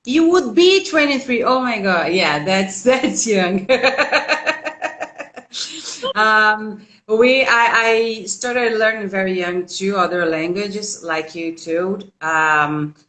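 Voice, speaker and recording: A Brazilian woman hosts a relaxed chat, speaking slowly in accented English. Her voice is bright, and she laughs often between halting fillers. The sound carries an echoey room. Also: mid-range voice. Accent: Brazilian Portuguese. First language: Portuguese. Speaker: host, English teacher. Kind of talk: casual interview.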